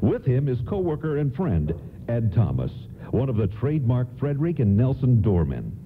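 A man's voice: medium pitch, big pitch swings, moderate pace, lightly acted. A man playing an earnest radio narrator and announcer, reading a scripted old-time radio play. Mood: storytelling. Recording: tinny radio broadcast, faint noise.

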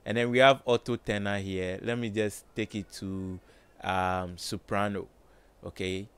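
A man with a low voice, slowly thinking aloud with many fillers while demonstrating music plugins in a home studio. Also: level tone, a bit bright, smooth. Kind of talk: casual screen-recorded tutorial. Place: small home studio with colored lights.

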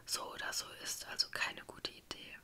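A woman's voice: moderate pace, pushed and bright. A woman voicing a loyal, sensible young girl in an earnest whisper for a story. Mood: earnest worry.

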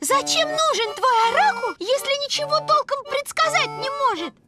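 A woman voicing a vain, impulsive young piglet girl in a cartoon, squeaky, childlike and pouty. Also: expressive, moderate pace. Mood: dejected, pouty. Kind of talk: animated cartoon voice acting. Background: music.

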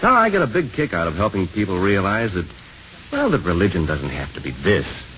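Easygoing, low-voiced kindly priest. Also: male voice, huge pitch swings, brisk. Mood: warm, reflective. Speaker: actor in a radio drama. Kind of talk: 1950s radio acting. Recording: muffled, lightly noisy 1950s radio broadcast.

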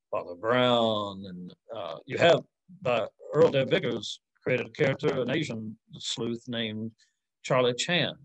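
A man in his forties speaking as an academic answering audience questions informally, thoughtful and halting, often hedging and rephrasing on a video call. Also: medium pitch, low-quality recording. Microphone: computer mic on a video call.

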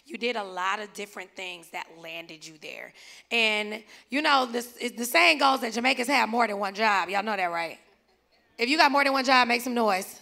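A woman's voice: mid-range voice, gravelly, pushed and bright. A woman moderating a live conference panel on stage with a handheld mic, playful and teasing as she talks to guests and the crowd. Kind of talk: lively live panel hosting.

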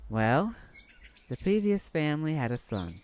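A woman voicing a kindly, hesitant male neighbor in an ASMR roleplay, telling a story in a halting, folksy way. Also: deep voice, very animated, darkish tone. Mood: hesitant, somber. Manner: folksy, halting, sighing between thoughts.

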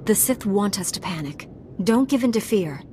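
Actress as resolute female Jedi Knight, game cutscene, lower register, composed and firm. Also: lively intonation, moderate pace, dark tone, a little hiss or hum, lightly acted. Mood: calm, resolute. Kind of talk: video game cutscene acting.

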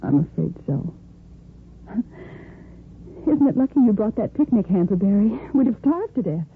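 A woman's voice: medium pitch, big pitch swings, normal speed. An actress playing an earnest, romantically torn young woman doctor in a 1950s radio soap opera, with dramatic phrasing. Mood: wry. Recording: noisy tinny 1950s radio recording.

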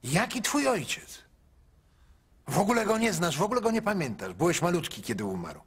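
A man's voice: high voice, very animated, fairly quick, husky, pitched above the performer's own voice, heavily acted. A male actor voicing an angry, stubborn older mother in a radio drama, scolding and repeating herself. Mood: angry, defensive.

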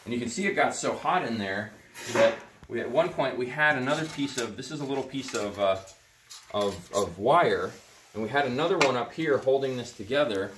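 A man's voice: mid-range voice, expressive, normal speed, background noise. A middle-aged man explaining hands-on as he takes apart a cob heater indoors, rambling and full of ums and uhs. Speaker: natural builder demonstrating a heater. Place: indoors beside a cob heater in a barn workshop. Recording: roomy indoor echo.

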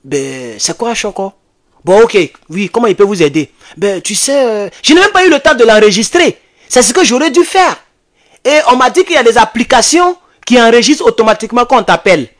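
Man, Ivorian accent, high voice, animated and insistent, livestream activist addressing followers, repeats himself for emphasis. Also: brisk. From Ivory Coast. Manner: emphatic, repetitive, urgent, animated. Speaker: online political activist host. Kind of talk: impassioned livestream commentary to followers. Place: small indoor home studio. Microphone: desk microphone close in front.

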